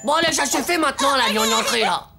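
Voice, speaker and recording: Creaky, cheeky little schoolboy. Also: female voice, high-pitched, fast talker, over loud music. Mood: scheming. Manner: slangy, exaggerated complaining. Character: cheeky, indignant, dramatic schoolboy, slangy and easily embarrassed. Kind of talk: French animated series dialogue.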